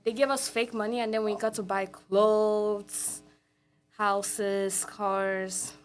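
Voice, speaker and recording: A woman's voice: mid-range voice, fairly even intonation, slow pace, faint music behind. A teenage girl raised in Cameroon, a student guest answering in short, plain replies. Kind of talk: casual podcast chat.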